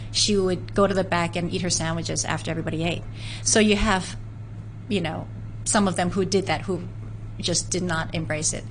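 A woman's voice: on the low side, a little hiss or hum, poor audio. A woman from San Francisco, a guest in an interview, telling family history in an earnest, storytelling way with frequent restarts. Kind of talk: conversational interview.

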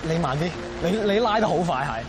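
A man's voice: on the high side, lively intonation, a little fast, over loud music. A young man with a Hong Kong Cantonese accent, a student talking casually. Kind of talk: casual talk.

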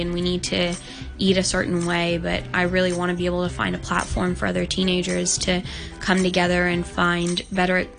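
A woman's voice: fairly low pitch, vocal fry. A nineteen-year-old woman from Hong Kong, a guest on an interview show, talking openly and earnestly with many "like" fillers. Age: young adult. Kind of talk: casual interview talk.

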